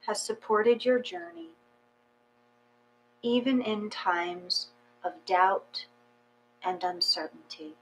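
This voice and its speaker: A woman leads a guided meditation, reading at an unhurried pace with long pauses between phrases. Her voice sits at a middle pitch. A faint electrical hum runs under the recording. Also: rough recording. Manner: slow, calm, measured reading with long pauses.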